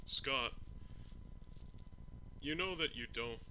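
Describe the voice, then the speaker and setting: Man voicing a bright, friendly college student. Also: medium pitch, very slow, voice pushed up, exaggerated voice, rough recording. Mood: coaxing. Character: friendly, insistent male student in bedtime story. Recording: fan hum behind voice.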